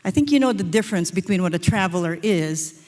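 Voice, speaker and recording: A woman's voice: fairly low pitch, animated, a little fast, a little mellow. A woman, giving a short stage lecture as a design professor and telling personal stories. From Philippines. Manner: storytelling, personal anecdotes. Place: auditorium stage. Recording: reverberant hall.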